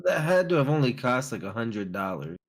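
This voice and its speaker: A man's voice: mid-range voice, very animated, brisk. A young man reacting and laughing along in a casual group chat.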